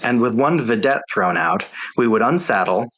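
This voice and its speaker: A male audiobook narrator reads a first-person soldier's memoir. His voice sits at an ordinary middle pitch, and the delivery is scripted storytelling. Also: animated, moderate pace, a bit bright, poor audio.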